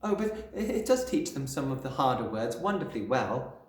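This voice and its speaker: A man voicing a gentle, timid young woman teacher who pleads softly. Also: medium pitch, sing-song, normal speed, lightly acted. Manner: soft, pleading. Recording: roomy echo.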